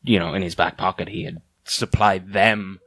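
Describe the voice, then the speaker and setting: Hesitant low-voiced man. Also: steady tone, a bit bright, dry recording. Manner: halting, hesitant. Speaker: podcast co-host. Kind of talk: improvised comedy podcast chat.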